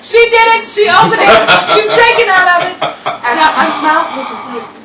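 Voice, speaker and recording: A woman's voice: extremely high pitch, sing-song, normal speed, sharp tone. A woman chatting casually. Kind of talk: casual chat. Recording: echoey distant room, noisy chatter behind.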